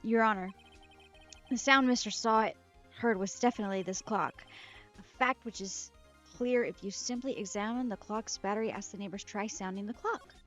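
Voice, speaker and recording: A woman's voice: mid-range voice, moderate pace, fairly bright tone, close to an everyday voice. A woman reading the lines of an earnest young male defense attorney with a determined, declaiming delivery. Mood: explaining. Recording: slightly muffled with game music.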